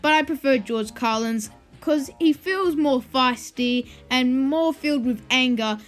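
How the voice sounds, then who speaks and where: Casting: high-voiced host for casual ranking commentary, chatty asides, music underneath. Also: unhurried, fairly bright tone. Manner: informal, conversational asides. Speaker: video host. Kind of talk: opinion commentary, ranking video.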